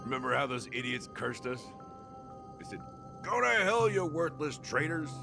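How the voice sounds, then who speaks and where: A man's voice: mid-range voice, big pitch swings, normal speed. An actor playing a wry, world-weary male outcast in a game, musing in a drawl as if to an old comrade. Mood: bittersweet, sardonic. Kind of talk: dramatic game monologue. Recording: clear music behind the voice. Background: music.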